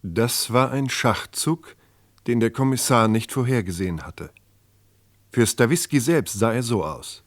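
A man's voice: mid-range voice, unhurried, no echo. A man narrating a true-crime radio play from a script.

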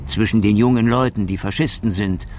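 A man's voice: on the low side, steady tone, fairly quick, darkish tone, slight background noise, natural voice. A man reading scripted documentary-style narration and interview questions in a radio drama.

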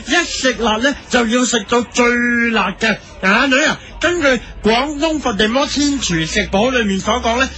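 A man's voice: very high-pitched, pushed and bright, full character voice. A man playing a gluttonous, self-satisfied food show host in a Hong Kong Cantonese comedy radio drama, explaining eagerly with a repetitive verbal tic. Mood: pompous explaining. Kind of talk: parody radio drama performance.

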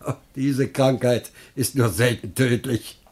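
An actor playing a dry, mocking old householder with sardonic, teasing delivery in a classical comedy radio play. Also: male voice, mid-range voice, steady tone, measured pace, darkish tone, lightly acted.

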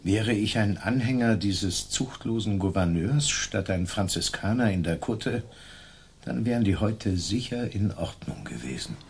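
An actor playing a wronged old Franciscan friar in a radio play, resigned but defiant, speaking in plain statements. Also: male voice, lively intonation, a little slow, husky, exaggerated voice. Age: older. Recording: low rumbling background noise.

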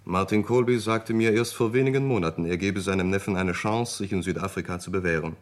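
An actor playing a formal, obliging British solicitor in a German radio crime drama, speaking precisely and matter-of-factly. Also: male voice, sits low, fairly quick, lightly acted.

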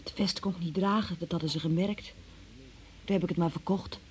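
A woman's voice: lowish voice, fairly even intonation, a little fast, natural voice. An actress playing a naive, anxious young working-class woman accused of theft, plaintive and artless, in a Dutch radio drama. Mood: sheepish.

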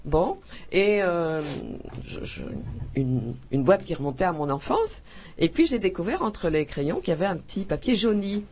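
A woman's voice: lowish voice, very animated, normal speed, a little mellow. A woman author from Belgium in a radio interview, talking effusively with frequent hesitations, restarts and laughter.

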